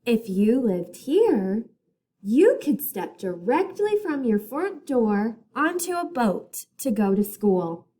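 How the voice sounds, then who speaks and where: A woman reading a picture book aloud as a teacher to children, in a deliberate, expressive storytelling manner. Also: a little high.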